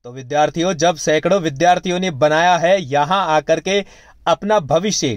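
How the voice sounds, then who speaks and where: Male promo narrator, high-pitched, punchy persuasive read, dry studio sound. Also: dry recording. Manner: emphatic, persuasive sales delivery. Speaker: advertisement narrator. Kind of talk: promotional voice-over.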